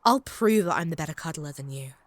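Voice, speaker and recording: A woman's voice: low voice, animated, quick pace, dry recording. A woman playing a possessive, haughty female vampire in a comedic roleplay audio drama, taunting and doting in a theatrical way. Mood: indignant.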